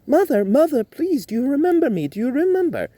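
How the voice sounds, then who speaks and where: A man's voice: very high voice, very animated, a little fast, mellow, no room sound, voice pushed up. A man voicing an earnest young turtle boy who pleads anxiously, in a spoken story. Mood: pleading.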